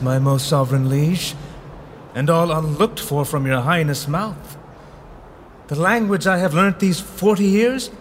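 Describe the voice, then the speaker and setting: A man's voice: sits high, a bit bright. An actor playing a proud, ageing English nobleman with a grievance, speaking Shakespearean verse with impassioned declamation. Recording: faint background noise.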